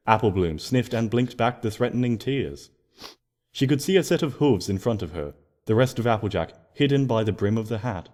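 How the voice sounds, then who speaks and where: A man narrating a scripted story expressively, in a gentle storybook manner. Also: fairly low pitch. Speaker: fan fiction narrator.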